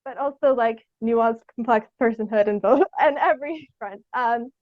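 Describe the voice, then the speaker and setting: A dry, slightly muffled recording of a young woman with a fairly high voice. She talks casually as an interviewed theatre artist, piecing her thoughts together as she goes and peppering them with "like". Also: no echo. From Sault Ste. Marie, Canada. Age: young adult. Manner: halting, thinking aloud, frequent "like".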